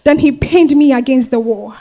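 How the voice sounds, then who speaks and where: Picture a wronged, defiant young Ghanaian wife and mother acted by a woman in a staged drama reading. Her voice is very high. She speaks emphatically, punctuating her lines with exclamations. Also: normal speed, mellow. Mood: sensual reminiscing. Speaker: stage actor. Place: live staged reading at a festival.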